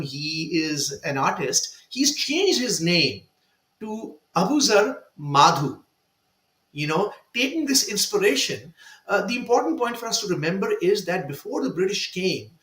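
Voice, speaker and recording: A man's voice: high-pitched, fairly bright tone, echoey room. A man telling a personal story in a panel discussion, emphatic and fond of rhetorical asides. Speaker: guest speaker.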